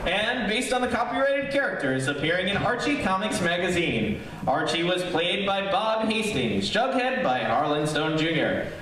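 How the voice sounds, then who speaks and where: A man's voice: sits high, a bit bright. A man announcing in 1940s radio style, reading sponsor copy and credits. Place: live student stage performance of a radio show. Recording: echoey, distant room sound with noise.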